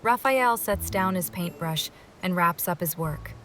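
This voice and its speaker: A woman's voice: fairly low pitch, a little slow, fairly bright tone, faint music behind. A woman reading a scripted first-person game story, moving between narration and dialogue. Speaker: voice-over reader of a game story.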